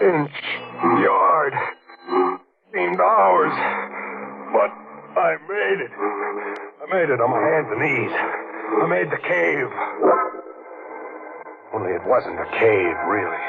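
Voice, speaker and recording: An actor playing a hard-boiled, greedy adventurer and killer in a melodramatic 1940s radio drama, with an American accent. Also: male voice, medium pitch, lightly acted. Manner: hard-bitten, slangy, melodramatic. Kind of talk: 1940s radio drama acting, first-person narration and dialogue. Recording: scratchy, noisy, narrow-band 1940s radio recording.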